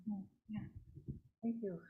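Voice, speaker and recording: A woman moderating a panel discussion and reading out audience questions in a brisk way. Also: medium pitch, steady tone, slightly rough, phone-quality sound.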